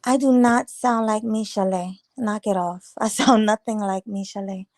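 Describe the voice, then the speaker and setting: A woman chats loosely on a morning livestream, greeting viewers and riffing on their comments. Her voice is a touch raspy from the early hour, and it sits close and dry with no room around it. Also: mid-range voice, steady tone, normal speed, darkish tone, no echo. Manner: chatty, rambling, teasing viewers. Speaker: livestream host. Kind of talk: casual live chat reading comments.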